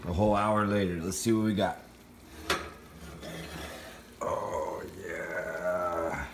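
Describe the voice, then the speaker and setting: A man's voice: low-pitched, fairly even intonation, takes it slowly, background noise. A man in his thirties giving a casual, informal how-to talk to a phone in a home kitchen, plain-spoken like a fitness vlogger. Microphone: phone at arm's length.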